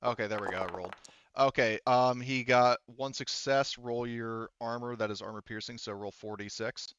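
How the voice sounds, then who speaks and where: A man's voice: mid-range voice, steady tone, normal speed, a bit bright, smooth, dry recording, dull audio. A man acting as game master for a tabletop game, talking casually with swearing and explaining the rules.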